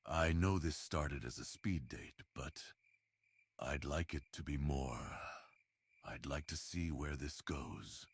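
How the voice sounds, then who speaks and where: A man playing a tender, shy man in love in an intimate ASMR roleplay, speaking haltingly and earnestly with a husky voice. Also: very deep voice, full character voice.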